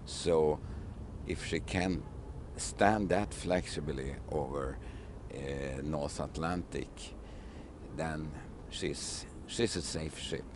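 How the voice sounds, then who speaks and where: An older man with a very deep voice speaks slowly, searching for his words in broken English. He is interviewed outdoors at a waterfront, talking into a handheld mic, with faint outdoor noise behind him. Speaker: ship captain. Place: outdoors on a wooden ship at a city waterfront. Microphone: handheld mic held by interviewer.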